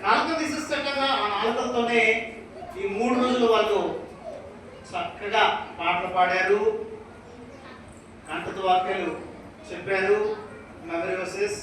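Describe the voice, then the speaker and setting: A man's voice: high voice, steady tone, unhurried, pauses often, fairly bright tone. Male ventriloquist host for children, reassuring straight man asking questions, heard from a distance in a very reverberant hall. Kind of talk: live children's puppet show dialogue. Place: large church hall with children's audience. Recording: echoey hall, distant mic, noisy crowd.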